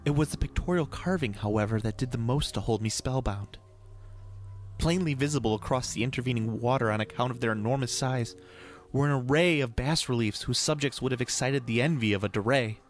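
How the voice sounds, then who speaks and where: A man's voice: mid-range voice, a little fast. A man reading a horror story aloud in a theatrical, brooding, ornate literary delivery. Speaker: podcast host reading horror tales aloud. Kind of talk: dramatic scripted horror story narration. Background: atmospheric ambience sound bed.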